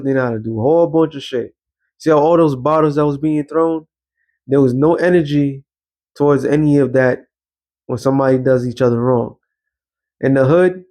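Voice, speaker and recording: A man's voice: mid-range voice, a little mellow. A man rants in a blunt, profane street-preacher style. He switches between loose commentary and reading scripture aloud, in an ordinary mid-range voice.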